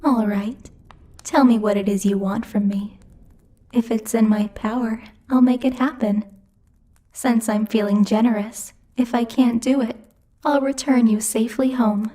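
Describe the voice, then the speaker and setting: A woman's voice: fairly high pitch, fairly even intonation, unhurried, warm tone. A woman voicing a bossy, teasing female demon who rules hell, speaking in a haughty, playfully menacing ASMR roleplay style. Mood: pleased, generous. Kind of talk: ASMR roleplay, intimate scripted monologue to the listener.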